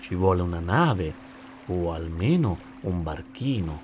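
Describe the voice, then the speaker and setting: A man's voice: sits low, lively intonation, a little slow, darkish tone, slight background noise. A man voicing a cautious, curious, timid dolphin in a children's story, speaking hesitantly. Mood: doubtful. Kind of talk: rhymed children's story character.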